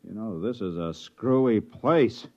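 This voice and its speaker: An actor playing an excitable, puzzled biologist exploring an alien planet in a 1950s sci-fi radio drama, speaking with wonder. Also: male voice, fairly low pitch. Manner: exclamatory, wondering, halting in amazement. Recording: muffled old radio recording.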